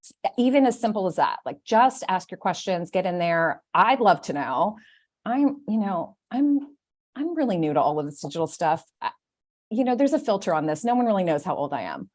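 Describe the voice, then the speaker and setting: A woman hosting a live online Q&A, talking in an animated, rambling, self-deprecating way. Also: on the low side, moderate pace. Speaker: host.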